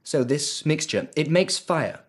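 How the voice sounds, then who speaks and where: An actor playing a youthful, imperious and curious emperor in a radio drama, with a regal, inquisitive manner. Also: male voice, on the high side, lively intonation, a little slow, breathy edge. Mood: curious, amused.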